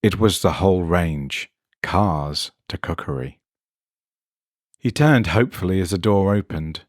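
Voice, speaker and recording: A man's voice: low-pitched, measured pace, no room sound, clean studio sound. A man reading a weird short story as scripted dramatic narration. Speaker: story reader.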